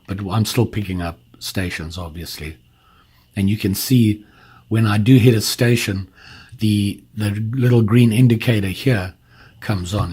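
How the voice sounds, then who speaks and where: A man with a fairly low, husky voice walks through a product in a casual, hands-on way. He explains each part step by step, informally, as he goes. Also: a little mellow. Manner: informal, explains step by step while handling the device. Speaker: product reviewer.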